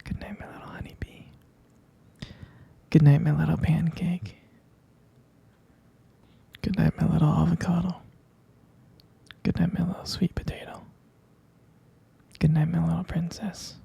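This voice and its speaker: A man playing a tender, doting boyfriend in an ASMR roleplay, murmuring slowly and affectionately in a breathy, husky whisper. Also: fairly high pitch, little pitch movement, mellow, full character voice. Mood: soft, teasing. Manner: slow, hesitant, affectionate murmuring with pet names. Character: tender, doting vampire-romance boyfriend soothing partner to sleep.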